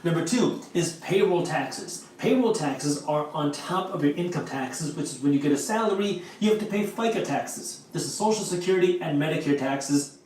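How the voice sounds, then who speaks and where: A man whose first language is Punjabi, working as an attorney, walks through a topic point by point. His voice sits slightly high. It is heard from afar in a very echoey replay. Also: animated, normal speed, darkish tone, distant mic, a little hiss or hum. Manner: clear, structured, lecturing. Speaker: attorney explainer. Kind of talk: scripted explainer video.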